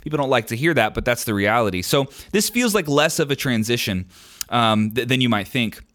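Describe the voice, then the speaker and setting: A man's voice: mid-range voice, expressive, brisk, a bit bright. A young adult man hosting his own podcast, answering listener questions in a candid, rambling way with frequent ums and restarts. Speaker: podcast host. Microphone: podcast microphone.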